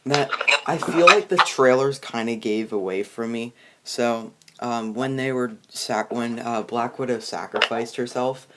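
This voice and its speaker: A young man hosting a casual review chat, talking in a halting, rambling, informal way. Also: medium pitch, pushed and bright. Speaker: host of a movie review video.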